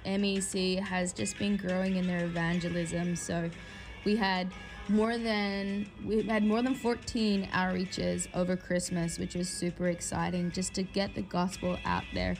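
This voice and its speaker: A young woman missionary giving an upbeat, chatty video update to her church. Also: mid-range voice. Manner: upbeat chatty report with fillers.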